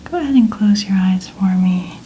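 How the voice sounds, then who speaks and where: A woman doing a soft-spoken ASMR medical exam roleplay, gently whispering in a soothing, reassuring manner. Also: medium pitch, normal speed, lo-fi sound, a little hiss or hum. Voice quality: soft, breathy, largely whispered. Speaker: ASMR performer role-playing a medical examiner.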